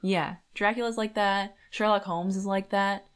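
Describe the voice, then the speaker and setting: A woman's voice: mid-range voice, fairly even intonation, normal speed, smooth. A woman co-hosting a podcast in a casual, colloquial book discussion, slipping conversationally into quoted lines. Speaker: podcast co-host.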